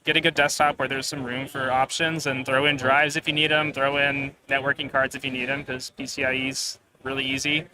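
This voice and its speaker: A young man answering interview questions in a relaxed way, often filling with "like". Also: medium pitch, a little fast, few pauses, rough recording. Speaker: guest creator. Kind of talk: casual live interview. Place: busy expo floor. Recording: live expo crowd behind.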